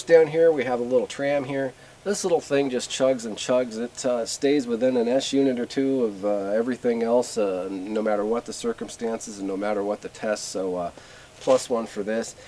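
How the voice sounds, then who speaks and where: A middle-aged man with an American accent giving a casual, unscripted gear review in a plainspoken, folksy, halting way. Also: fairly low pitch, dark tone. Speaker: video host reviewing radio gear. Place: in front of a closed garage door. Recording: clear background noise, camera at a distance.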